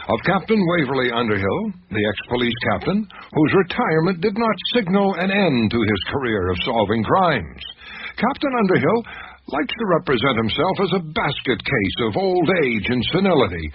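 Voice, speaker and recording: An actor playing a genteel, fussy older family doctor in a radio drama, speaking in a formal, emphatic, theatrical way. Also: male voice, mid-range voice, very animated, normal speed, natural voice. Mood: admiring, reflective. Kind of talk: radio drama narration and acting. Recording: dull, noisy radio drama recording.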